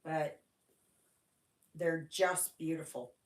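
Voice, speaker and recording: A woman's voice: low voice, very slow, echoey room. A Canadian woman whose first language was German, hosting a casual stitching livestream and chatting in a rambling way full of "oh my gosh".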